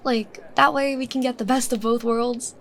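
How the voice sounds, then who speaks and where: Girl acting out a playful teasy young woman w a crush, highish voice, chatty roleplay, some background noise. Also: normal speed, natural voice. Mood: cheerful, friendly. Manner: playful, giggly, expressive. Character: bubbly, teasing young woman chatting with her secret crush. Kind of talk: roleplay ASMR, acted casual chat.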